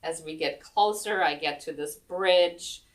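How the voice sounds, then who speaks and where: Woman, low register, chatty guest storyteller, recorded from a distance. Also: measured pace. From Germany. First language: German. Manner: chatty, rambling, many ums and restarts. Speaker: guest, chair yoga instructor. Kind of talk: casual storytelling interview. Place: senior center room.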